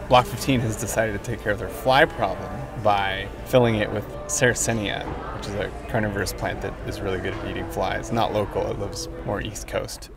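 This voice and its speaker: Creaky-voiced young man, casual vlogger. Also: on the low side. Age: 20s. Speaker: vlog host and reptile enthusiast. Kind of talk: casual outdoor vlog chat. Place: outdoors in open fields, some in a parked car. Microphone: clip-on lapel mic.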